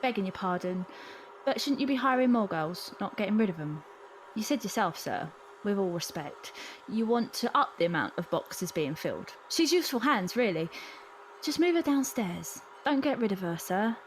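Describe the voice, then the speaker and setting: A woman's voice: medium pitch, sing-song, moderate pace, a little hiss or hum, close to an everyday voice. A woman playing a plucky, respectful young Victorian factory worker in an audio drama, polite but persistent. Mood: pleading. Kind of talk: fan audio drama acting.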